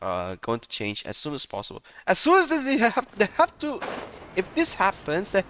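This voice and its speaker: A man's voice: high-pitched, wildly swooping pitch, moderate pace, a bit bright, no echo. A man giving casual, rambling live commentary as he plays a game. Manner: rambling, often breaking into laughter. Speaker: streamer reacting to a game playthrough. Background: game music behind the voice.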